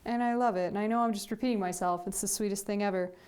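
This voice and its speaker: A woman's voice: mid-range voice, steady tone, quick pace, a little mellow, clear, resonant tone. A young woman chatting casually as she opens fan gifts, gushing and repeating herself. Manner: gushing, repeats "sweet" often. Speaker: vlogger. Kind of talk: casual gift unboxing chat.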